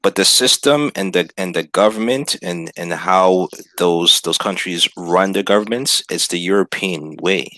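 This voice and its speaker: A man's voice: sits low, measured pace, hardly any pauses, pushed and bright, dry recording. A man arguing insistently in a panel debate, often restarting his sentences.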